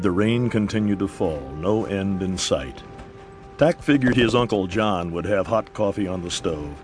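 A man narrating a dramatized Western story in scripted audiobook style. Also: on the low side.

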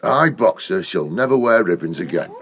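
An actor playing a big, loyal, simple cart horse, speaking plainly and solemnly in a radio drama. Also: male voice, low voice, expressive, normal speed, a little hiss or hum.

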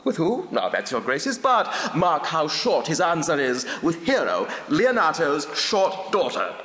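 An actor playing a witty, mocking bachelor lord who scorns love, in bantering, declamatory Shakespearean stage style. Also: male voice, on the high side, wildly swooping pitch, measured pace, fairly bright tone, echoey room, long reverb tail, full character voice. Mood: mock outraged. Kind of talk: theatrical Shakespearean stage acting.

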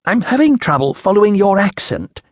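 A man's voice: sits high, very animated, a little fast, dry recording, pitched above the performer's own voice. A man voicing an inexperienced young Roman official in an audiobook, hesitant, polite and awkwardly bureaucratic. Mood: flustered.

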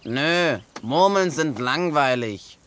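Cheeky little boy raven, swooping pitch. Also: animated, takes it slowly, a bit bright. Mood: sulky. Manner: brash, grumpy, sly. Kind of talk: children's audio drama acting.

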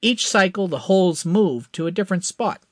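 A man voicing Crash, an excited, slightly nervous young tech whiz. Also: no room sound. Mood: eager. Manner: excited, quick, pitched up.

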